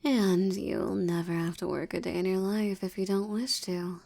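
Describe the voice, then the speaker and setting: A woman playing a possessive, seductive vampire in an intimate audio roleplay, teasing and commanding, with a breathy voice that often drops toward a whisper. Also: medium pitch, steady tone, a little mellow. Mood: coolly menacing, bargaining. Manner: slow, teasing, commanding, condescending. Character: dominant, possessive, seductive wealthy female vampire.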